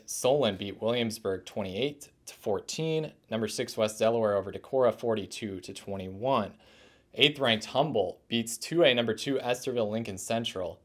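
Young guy hosting a sports recap, kinda low voice, reading off scores one after another. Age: young adult. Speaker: sports show host. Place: small indoor room, plain wall.

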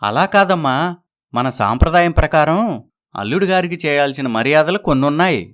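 A man playing a traditional, courteous father of a married daughter in a cartoon story, gently insistent. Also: fairly high pitch, animated, fairly quick. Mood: coaxing. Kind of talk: animated moral story dialogue.

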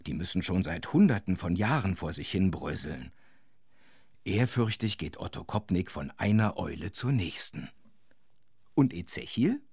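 A man narrating a children's audio play as a chatty, wondering storyteller with a husky voice. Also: sits low, big pitch swings, a little slow, a little mellow, dry recording, clean studio sound, exaggerated voice. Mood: wondering, playful. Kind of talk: scripted, playful storytelling narration.

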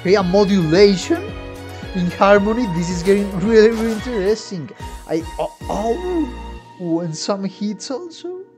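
A man's voice: high voice, animated, normal speed. A man giving casual, exclamatory, slangy reaction commentary as a musician watching game trailers. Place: home room with instruments. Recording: game music playing behind the voice.

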